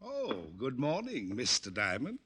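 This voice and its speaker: Husky, blustery radio police lieutenant. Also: male voice, mid-range voice, huge pitch swings, measured pace, sharp tone, full character voice. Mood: mock-formal. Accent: American. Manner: mock-formal, then blustering. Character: gruff, excitable male police lieutenant, sarcastic and blustery. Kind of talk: 1940s radio acting. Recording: old-time radio drama recording.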